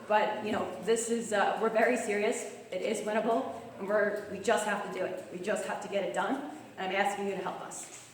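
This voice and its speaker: A young woman with a Long Island accent talks fast and earnestly, her voice a little hoarse. She is heard from far off in an echoey hall with a long tail of reverb, and faint room noise sits under her words. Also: medium pitch, fairly even intonation. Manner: fast-talking, earnest appeal. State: losing her voice. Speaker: campaign manager. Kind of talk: speech at a club meeting. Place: meeting room.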